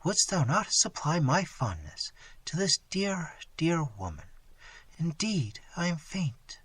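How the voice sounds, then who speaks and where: A male reader voicing a gentle young princess speaking faintly and softly as she dies, her words dropping toward a whisper. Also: mid-range voice, a little slow, no room sound, voice pushed up, close to an everyday voice. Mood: fading.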